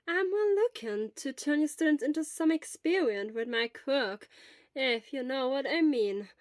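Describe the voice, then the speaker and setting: Woman playing a kindly, stern school nurse, high-pitched. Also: animated, no echo, clean studio sound. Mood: gently explaining. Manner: measured, firm, explanatory. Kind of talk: acted audiobook dialogue.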